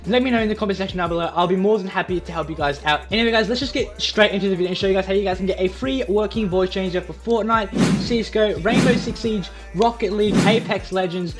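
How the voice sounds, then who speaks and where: Fast-talking young man, higher-pitched voice. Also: soft background music. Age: young adult. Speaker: YouTube tutorial host. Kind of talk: casual screen tutorial.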